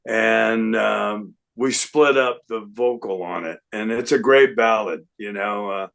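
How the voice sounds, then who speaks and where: An older man, a guest singer, chatting casually in an unhurried, rambling way with lots of fillers. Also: on the low side, vocal fry, fairly bright tone. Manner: breaks into sung snippets. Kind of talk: casual interview chat.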